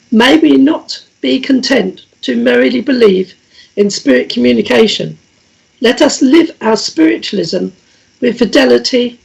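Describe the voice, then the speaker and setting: Croaky, husky woman leading a prayer. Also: a little high, low-quality recording. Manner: gentle, measured, with frequent small restarts. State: croaky, coughing voice. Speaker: church service leader. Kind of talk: reading prayers and leading a healing meditation.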